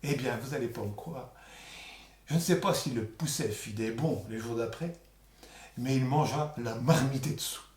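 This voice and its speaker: A man's voice: medium pitch, moderate pace, darkish tone. A man telling a folk tale aloud in a theatrical, colloquial oral storytelling style. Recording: echoey room.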